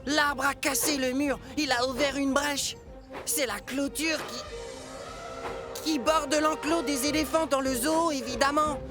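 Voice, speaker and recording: An actor voicing a cheeky young male tank engine in a children's cartoon, excitable and earnest. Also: high voice, slow, music in the background. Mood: amazed. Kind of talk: children's animated series dub.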